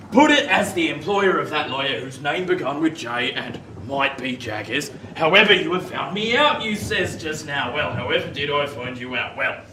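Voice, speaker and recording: An actor playing a rough, emotional convict of about sixty in a radio play, with a working-class English accent and halting rustic speech. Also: male voice, fairly high pitch, a bit bright, exaggerated voice. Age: 60s. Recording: distant, echoey, faint hiss.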